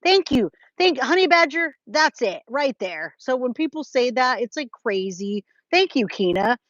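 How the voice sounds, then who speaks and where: A woman's voice: high-pitched, big pitch swings, measured pace, a bit bright, no room sound. American lady in her 40s doing a casual livestream, chatty n emphatic, kinda muffled lo-fi sound but pretty dry. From California, United States. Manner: informal, addresses viewers as guys, babe, my love. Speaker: true crime livestream host. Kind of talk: casual livestream chat, replying to viewers' comments.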